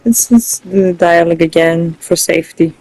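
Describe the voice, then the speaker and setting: A gaming host giving casual, low-key let's play commentary, thinking aloud. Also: moderate pace, darkish tone, no echo, rough recording.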